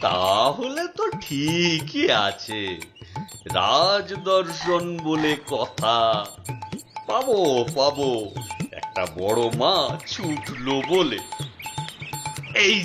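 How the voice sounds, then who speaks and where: A man's voice: on the high side, big pitch swings, very slow, heavily acted. A man voicing a hapless, scheming village husband in a comic cartoon, exclaiming and muttering theatrically. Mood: self-pitying muttering. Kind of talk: Bengali comic cartoon voice acting. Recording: loud music behind the voice.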